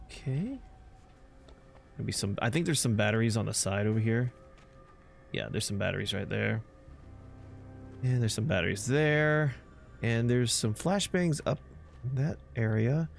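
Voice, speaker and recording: A man's voice: medium pitch, lively intonation, measured pace, creaky, pauses often. A man giving casual gameplay commentary, informally thinking aloud as he plays. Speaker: gaming video host. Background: loud game music and sound effects.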